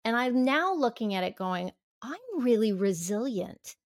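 A woman's voice: on the high side, expressive, unhurried, no echo, studio quality. A woman in her fifties speaking as a podcast guest, earnest and reflective, often restarting her sentences. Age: 50s. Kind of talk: casual interview chat.

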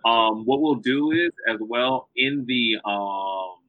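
Halting male podcast host on a call. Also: medium pitch, no room sound. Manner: halting, full of ums and restarts. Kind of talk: casual live-stream interview. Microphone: video call. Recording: dull video call audio.

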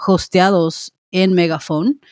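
A woman's voice: lowish voice, fairly even intonation, takes it slowly, a little mellow, dry recording. Woman co-hosting, close dry sound. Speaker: podcast co-host. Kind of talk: conversational commentary.

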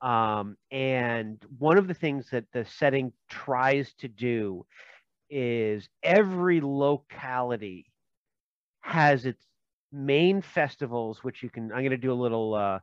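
A man's voice: medium pitch, expressive, slow, clean tone, no room sound, muffled recording. A man from Minnesota, a game designer, talking casually in an interview with many fillers and restarts and joking asides.

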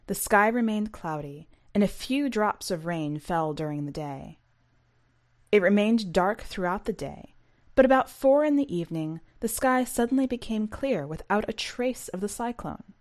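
A woman's voice: medium pitch, a little mellow. A woman hosting a fiction podcast, speaking in a scripted, read-aloud presenting and narrating style. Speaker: podcast host and story reader.

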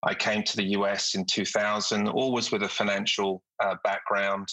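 A middle-aged British man from the UK, a company executive speaking as a podcast guest, measured with frequent hesitations. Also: lowish voice, flat delivery, pushed and bright, no room sound, poor audio. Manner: measured, with frequent ums and uhs. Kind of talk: podcast interview.

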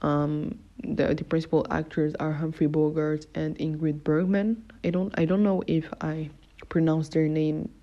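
A woman's voice: deep voice, flat delivery, unhurried. A young adult woman from Morocco hosting a solo podcast, chatting casually with many fillers and self-corrections. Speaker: podcast host. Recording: dull lo-fi recording.

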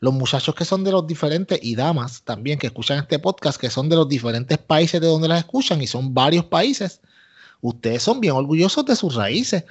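Emphatic higher-voiced Puerto Rican man, lo-fi. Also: lively intonation, normal speed, breathy edge. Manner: emphatic, repeats himself, mixes English into Spanish. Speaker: wrestling podcast co-host. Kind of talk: opinionated casual podcast commentary. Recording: dull lo-fi audio.

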